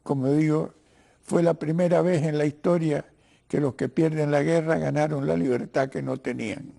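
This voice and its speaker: Older man, Cuban-Argentine accent, mellow gravelly voice, halting professorial delivery, political interview guest. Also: a little high, fairly even intonation. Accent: Cuban-Argentine Spanish. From Cuba. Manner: halting, professorial, repeats words while searching for the next. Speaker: interview guest, writer and lawyer. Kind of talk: political discussion in a broadcast interview.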